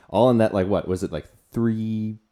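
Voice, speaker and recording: Male podcast co-host, 20s. Lowish, dark relaxed voice, informal halting chat. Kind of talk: casual chat.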